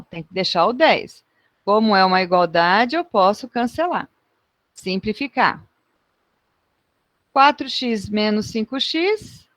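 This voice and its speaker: A woman explains math problems to her class in a chatty, informal way and keeps checking that students are following. Her voice sits a little high, and the sound is dry and close. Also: a bit bright, dry recording. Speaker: math teacher.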